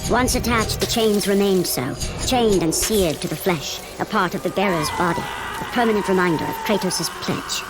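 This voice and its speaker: An actress playing a solemn, portentous narrator of a Greek epic in a video game, with deliberate dramatic emphasis. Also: female voice, mid-range voice, lightly acted, poor audio. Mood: grave, portentous. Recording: loud dramatic music underneath.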